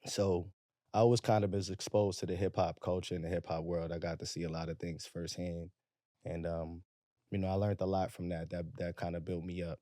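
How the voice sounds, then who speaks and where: Deep, flat-voiced New York man. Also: fairly quick, no echo, studio quality. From Harlem, New York. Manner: laid-back, slangy, repeats words. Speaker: guest, music artist. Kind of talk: casual conversation.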